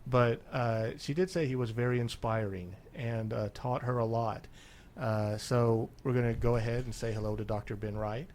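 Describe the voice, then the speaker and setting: A young man hosting a local radio show, introducing his guest with lots of pauses and fillers. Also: medium pitch, steady tone, a little mellow, slight background noise. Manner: hesitant, many fillers. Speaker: radio host. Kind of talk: casual radio chat. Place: radio studio.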